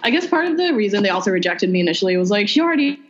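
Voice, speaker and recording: A young woman from the Philippines, telling her own story in a relaxed, chatty way with many "like"s. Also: very fast. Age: young adult. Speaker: interviewee. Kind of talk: casual chat. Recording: muffled low-fidelity audio.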